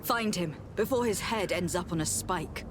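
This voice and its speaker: An actress playing a stern noblewoman diplomat in a video game, speaking in a formal, measured, authoritative way. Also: lowish voice, background noise, natural voice. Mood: stern, probing.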